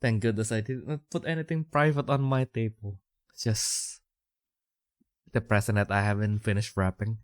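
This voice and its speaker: A man's voice: fairly low pitch, smooth, no room sound, studio quality. A man from Indonesia co-hosting a podcast, chatting casually with lots of fillers and laughter. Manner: rambling, many fillers, frequent laughter. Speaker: podcast co-host. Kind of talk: casual chat.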